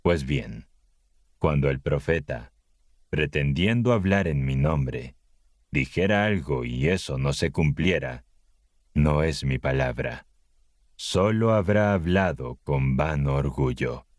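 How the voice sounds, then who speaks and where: A man with an extremely low voice narrates scripture like an audiobook. He reads slowly and solemnly, pausing deliberately between phrases. The sound is close and dry. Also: lively intonation, a little mellow, no echo.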